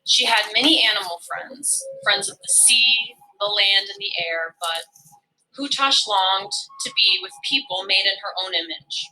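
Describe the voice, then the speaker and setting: A woman reading a legend aloud in an expressive storytelling style. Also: mid-range voice, a little slow, poor audio. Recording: music behind the voice. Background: music.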